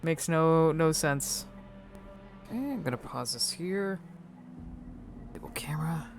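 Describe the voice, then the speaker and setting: Casual female game commentator, lowish voice with lively swings, halting and sweary, faint music behind. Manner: musing aloud, halting, sweary.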